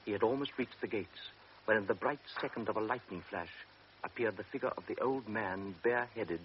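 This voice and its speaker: A man narrating a classic radio drama in a measured, grave storytelling voice. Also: fairly low pitch. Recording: dull old radio recording with hiss.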